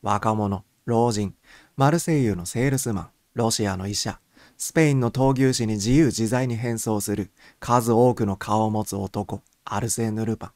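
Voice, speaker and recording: Male narrator for a first-person mystery audiobook, lower-pitched voice, dry studio-like sound. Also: darkish tone, no echo.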